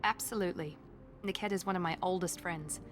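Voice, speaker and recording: A woman's voice: on the low side, fairly quick, natural voice. Here is a woman playing a proud, guarded video game heroine. She speaks with controlled, earnest intensity and sounds fiercely protective, over faint music. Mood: earnest, defensive.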